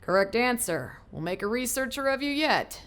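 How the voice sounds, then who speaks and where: An actress playing a brisk senior researcher in an audio drama, quizzing and slightly condescending. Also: female voice, on the high side, very animated, a bit bright. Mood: brisk, probing. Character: brisk, probing woman senior researcher mentoring a junior.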